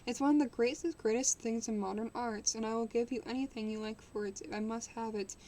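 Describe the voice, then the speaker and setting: A woman voicing a witty gentleman who covets a painting, reading in her own fairly high voice. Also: fairly even intonation, fairly quick, a little hiss or hum, natural voice. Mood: eager.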